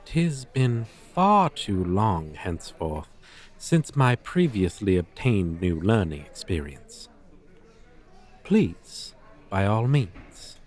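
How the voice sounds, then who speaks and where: Guy doing a proud old dragon teacher for asmr roleplay, super formal and pompous, takes his time, pitch swoops around. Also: very animated, takes it slowly, darkish tone, exaggerated voice. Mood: humbled, formal. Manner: pompous, archaic, stilted formal diction.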